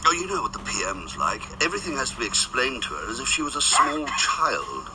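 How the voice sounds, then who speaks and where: An actor with a British accent playing a condescending government official in a naturalistic radio drama. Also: male voice, mid-range voice, close to an everyday voice. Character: weary, condescending male government official on a phone call. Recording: distant, noisy moving car interior.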